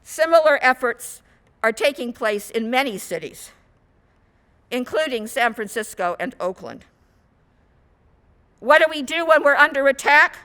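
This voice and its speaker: A woman minister giving a sermon in measured, rhetorical short phrases. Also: sits high, expressive, sharp tone. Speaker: guest minister.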